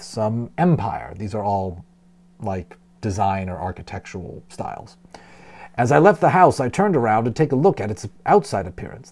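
Male audiobook narrator, expressive and dramatic, soft-edged tone, big swings in intonation. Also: medium pitch. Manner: dramatic, with brief explanatory asides. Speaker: audiobook reader, storyteller.

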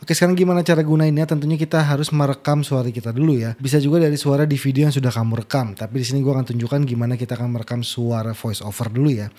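A man, hosting a casual, chatty software tutorial into a close microphone. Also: medium pitch, fairly even intonation, very fast, hardly any pauses. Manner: chatty, frequent "guys" and "ya" tags. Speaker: tutorial host. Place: small indoor home studio. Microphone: close desk microphone.

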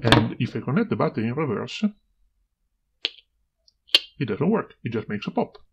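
A man presents an informal, hands-on tutorial in a mid-pitched voice. His tone is husky with a gravelly edge of fry. The audio is somewhat dull, like a homemade video. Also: big pitch swings, moderate pace, heavy background noise. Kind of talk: informal hands-on demonstration and explanation.

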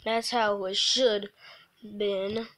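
A woman livestreamer reading a visual novel aloud, haltingly, breaking off for casual offhand asides. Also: medium pitch, flat delivery, a bit bright. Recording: noisy mobile stream with clicks.

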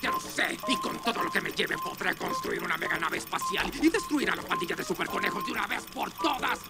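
A man's voice: high-pitched, expressive, a little fast, loud music behind the voice. A man voicing a scheming, gloating wolf professor villain in a children's cartoon, with a Latin American Spanish accent and a mocking, theatrical manner. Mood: sly, scheming.

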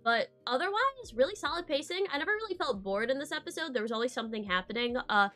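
A woman's voice: high-pitched, expressive, quick pace, sharp tone, faint music behind. A young woman talking casually on a live stream, analytical and full of filler sounds as she weighs an anime episode. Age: young adult. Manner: analytical, lots of uh fillers and trailing off. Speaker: co-host reacting to an anime episode. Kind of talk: casual chat. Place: home streaming setup, indoors.

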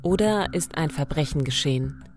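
A woman's voice: low voice, expressive, moderate pace, gravelly, darkish tone. A woman presenting a crime podcast as co-host, introducing and explaining.

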